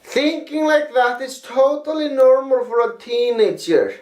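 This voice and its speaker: A man's voice: extremely high pitch, measured pace, darkish tone, reverberant. A man reading a prepared critique in a blunt, profane, lecturing manner. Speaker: video critic. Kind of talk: reading a prepared response video.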